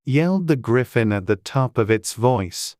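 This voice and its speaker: Clear-voiced storybook narrator, dry recording. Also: medium pitch, expressive, unhurried, a little mellow, no echo. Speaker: audiobook narrator.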